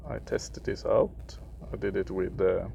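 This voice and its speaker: Gravelly, slow-talking Swedish man. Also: low voice, steady tone, warm tone, highs cut off, a little hiss or hum. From Sweden. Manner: halting, searching for words, frequent fillers. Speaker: gaming video creator. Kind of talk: casual gameplay commentary.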